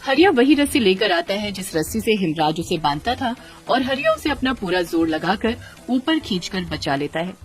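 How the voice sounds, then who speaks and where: Woman narrator, children's story, lively intonation, medium pitch, faint music. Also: moderate pace, few pauses.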